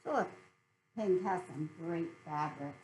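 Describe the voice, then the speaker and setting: A woman's voice: lowish voice, unhurried, darkish tone, slight background noise. A middle-aged American woman chatting casually and cheerfully to viewers as host of a hobby video channel.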